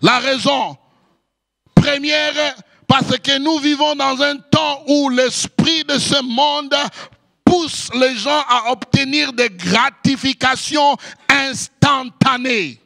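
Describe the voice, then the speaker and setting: A male pastor, a native English speaker, preaching a sermon in French with impassioned shouts and sing-song cadences. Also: very high voice, takes it slowly, gravelly.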